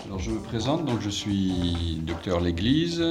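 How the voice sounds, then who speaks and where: A middle-aged man who heads an addiction treatment centre, introducing himself in an interview in a slow, measured way and pausing to find his words. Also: deep voice, big pitch swings, over music.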